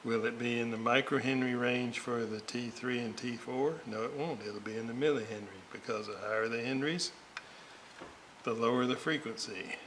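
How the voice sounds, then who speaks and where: A man with a gravelly voice, muttering haltingly to himself as he thinks aloud through technical work and repeats readings. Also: mid-range voice, fairly bright tone. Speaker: radio restorer. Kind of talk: thinking aloud while working. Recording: clearly noisy workshop recording.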